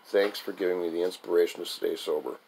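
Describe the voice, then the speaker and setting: Deep, gravelly-voiced male author. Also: fairly even intonation, fast, darkish tone, a little hiss or hum, low-quality recording. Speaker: author reading own book. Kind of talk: recitation and sign-off to listeners.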